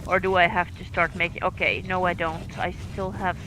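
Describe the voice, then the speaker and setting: A woman from Finland with a light Finnish accent, commentating her own live game stream, halting and filling gaps with fillers as she thinks aloud while playing. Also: on the low side, flat delivery, noisy background. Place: home in France.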